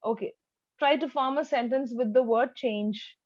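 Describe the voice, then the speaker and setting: A woman's voice: high voice, moderate pace, a little mellow, dry recording, highs cut off. A woman teaching a one-to-one speaking lesson as a tutor, instructive and demonstrating sentences with exaggerated intonation.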